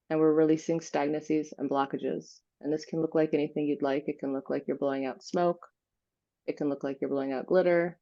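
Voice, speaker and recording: A woman leading an unscripted guided visualization meditation, giving gentle instructions with pauses. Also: sits low, gravelly, lo-fi sound.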